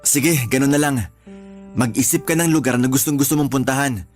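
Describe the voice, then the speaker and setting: A man's voice: medium pitch, brisk, soft background music, lightly acted. A man playing a protective, placating husband and father in a radio drama, speaking earnestly. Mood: placating, tender. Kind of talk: radio drama acting in dialogue.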